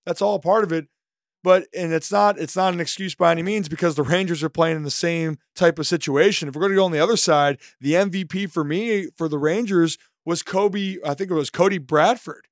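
A man co-hosting a sports podcast, his voice slightly hoarse, talking through pitching stats and game analysis in animated bursts. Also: a little fast, no room sound, clean studio sound. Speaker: co-host.

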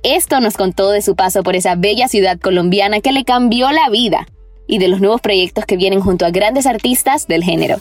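A woman's voice: medium pitch, a little fast. A woman narrates a polished podcast introduction in a mid-range voice. Faint music plays beneath her. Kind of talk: scripted narration.

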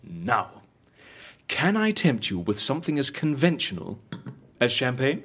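A suave fixer in an audio drama, all business and wry theatricality. His voice is husky and swoops widely in pitch. He speaks articulately and persuasively, like someone selling a scheme. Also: very animated, unhurried, a bit bright, heavily acted. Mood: genial persuasion. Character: suave, businesslike agency fixer who stages fake deaths, wry and theatrical.

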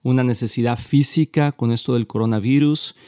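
Playful Mexican man, mellow dry voice. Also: mid-range voice, a little fast, dry recording. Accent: Mexican Spanish. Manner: playful, laughs often, restarts mid-phrase. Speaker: co-host.

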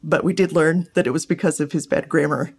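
A woman's voice: low voice, fairly even intonation, brisk, gravelly, very noisy. A woman speaking as an interviewed scholar, explaining things casually and thinking aloud as she goes. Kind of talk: interview answers.

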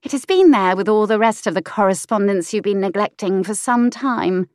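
A woman voicing an impudent young mistress in an audiobook, with a high, airy, pert voice. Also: lively intonation, measured pace, dry recording, clean studio sound. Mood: indignant. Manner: pert, teasing.

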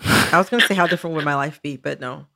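A woman's voice: deep voice, fast, gravelly, bright, forceful tone. An American woman co-hosting a podcast in casual conversation, candid and wry about herself. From United States. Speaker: podcast co-host.

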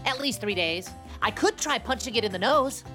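A woman plays a young boy superhero in a cartoon adventure. The voice is high and boyish, with an eager, earnest delivery. There is faint music behind it. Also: expressive, moderate pace, pushed and bright. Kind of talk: acted children's adventure story.

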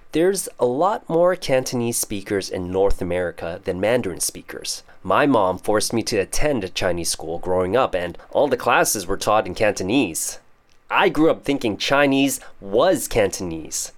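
Man, Canadian accent, fairly low pitch, casual podcast host, playful explainer. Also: lively intonation, normal speed. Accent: Canadian English. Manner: casual, joking, explains terms. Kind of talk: scripted podcast monologue teaching English idioms.

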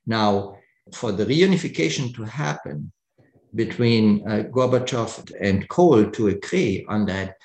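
A man's voice: on the low side, lively intonation, unhurried, vocal fry, a little mellow, echoey room. A middle-aged man from Germany, an author being interviewed, talking thoughtfully with many fillers and restarts. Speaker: author, guest. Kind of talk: conversational interview.

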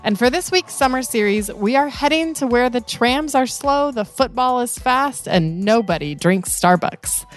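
A woman's voice: on the high side, animated, normal speed, hardly any pauses, soft background music. A woman hosting a podcast, reading an upbeat scripted intro and then chatting playfully with her guest. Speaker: podcast host.

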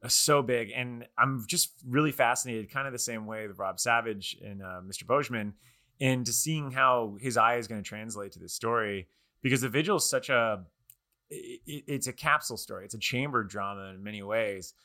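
A man's voice: medium pitch, brisk, bright, forceful tone. A man hosting a casual pop-culture podcast, talking enthusiastically and often restarting his sentences. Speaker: podcast host.

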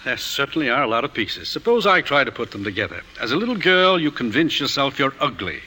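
Firm analytical doctor, male, forceful and husky, vintage radio style in a long reverberant space. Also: medium pitch, very animated. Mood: probing, firm. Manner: measured, declarative, insistent. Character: probing, authoritative male psychiatrist, firm and analytical. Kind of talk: 1950s radio drama acting.

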